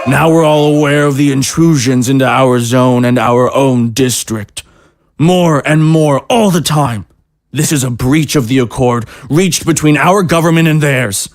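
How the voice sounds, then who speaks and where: Brief: male actor as merciless zealot captain for audio drama, grandiose speechmaker with a creaky, gravelly edge and oily geniality. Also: mid-range voice, dry recording, clean studio sound. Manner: pompous, oratorical. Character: fanatical military captain, grandiose, merciless, falsely genial man.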